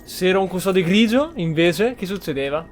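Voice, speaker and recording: A man's voice: high voice, lively intonation, fast. A man giving casual Let's Play commentary as the host of a gaming video. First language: Italian. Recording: clear game noise behind. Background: video game ambience.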